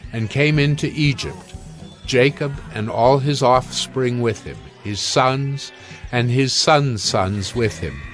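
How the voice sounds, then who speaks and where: A man narrating a dramatized scripture reading in a steady, even storytelling manner. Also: mid-range voice.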